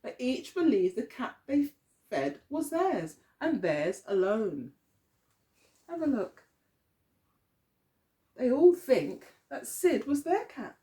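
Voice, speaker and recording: A woman teacher reading a picture story aloud to young children, expressively, often pausing to put questions to them. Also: high-pitched, big pitch swings, warm tone.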